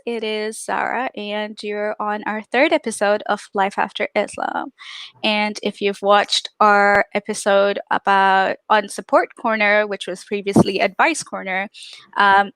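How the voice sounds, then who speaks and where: Woman host, smooth clear voice, super dry close sound, chatty with lots of ums. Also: medium pitch, fairly bright tone, no room sound. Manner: chatty, frequent fillers. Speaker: podcast host. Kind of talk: casual livestream hosting.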